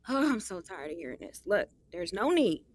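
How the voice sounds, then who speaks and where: Young woman, lively swooping intonation, sassy, slangy, smitten yet guarded, casual audio drama. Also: on the low side, normal speed. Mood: exasperated. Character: smitten, guarded young woman gossiping with her best friend.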